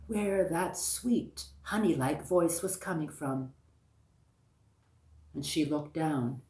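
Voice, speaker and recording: An echoey room carries a woman's oral storytelling. She tells a folktale very slowly and deliberately in a low voice, circling back over phrases for emphasis. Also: a little mellow. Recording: echoey, roomy sound.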